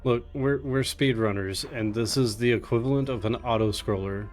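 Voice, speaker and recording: A man's voice: medium pitch, normal speed. A man giving casual live commentary on a speedrun in a jokey, sarcastic way. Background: video game music.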